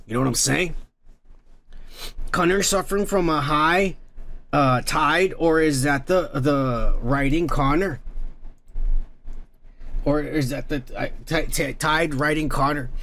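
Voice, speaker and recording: A man's voice: a little high, fairly bright tone. An American man hosting a podcast at home, rambling through viewer comments with lots of swearing and fillers. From United States. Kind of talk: casual profane commentary. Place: dim room at home.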